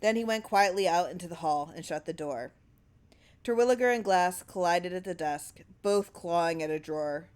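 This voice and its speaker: A woman's voice: fairly low pitch, normal speed, a little mellow, clear, resonant tone. Woman narrating a story expressively. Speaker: story reader.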